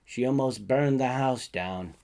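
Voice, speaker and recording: A man's voice: medium pitch, measured pace, creaky, darkish tone. A man voicing a laid-back, drowsy, wry friend in a short story, talking in an offhand, hesitant way. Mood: wry, resigned.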